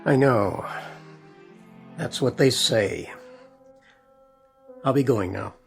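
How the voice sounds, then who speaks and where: A man's voice: medium pitch, expressive, very slow, vocal fry, soft background music, exaggerated voice. An actor playing a weary, resigned man getting ready to flee a freezing city in a radio drama. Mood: subdued.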